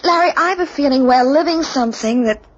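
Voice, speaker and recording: An actress playing an anxious, dreamy wife in old-time radio drama, earnest and melodramatic. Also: female voice, high voice, fairly quick, muffled recording, close to an everyday voice. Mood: puzzled, uneasy. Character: anxious, dreamy, intuitive young American wife.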